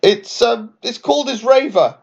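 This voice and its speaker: A man's voice: extremely high pitch, normal speed, creaky, slightly rough, dull audio, pitched above the performer's own voice, exaggerated voice. A man voicing a young, obliging, timid female witch in a children's bedtime story, hesitant and polite. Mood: nervous.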